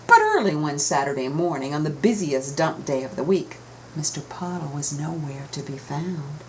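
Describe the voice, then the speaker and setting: A woman reading a children's storybook aloud with expressive, dramatic emphasis, as an author telling her own tale. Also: low voice, moderate pace, slight background noise, low-quality recording.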